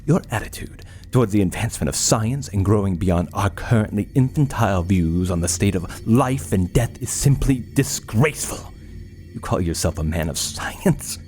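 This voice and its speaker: A man's voice: lowish voice, expressive, moderate pace, darkish tone, soft background music, natural voice. A man playing an arrogant, obsessive young medical researcher in an audio drama, speaking in a pompous, formal way. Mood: indignant.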